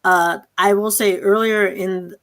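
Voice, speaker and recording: A woman's voice: mid-range voice, fairly even intonation, unhurried. A woman moderating a live question-and-answer session over a video call, speaking as the host.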